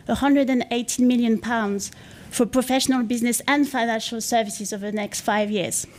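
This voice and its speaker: A woman giving measured, scripted opening remarks as the host of a conference. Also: a little high. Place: conference venue.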